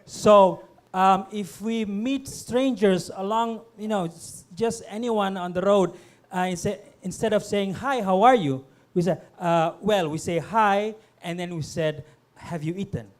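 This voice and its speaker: Man, middle-aged, from Indonesia, somewhat high voice, halting, lots of uh and um. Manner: hesitant, many fillers and restarts. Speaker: guest. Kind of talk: panel conversation.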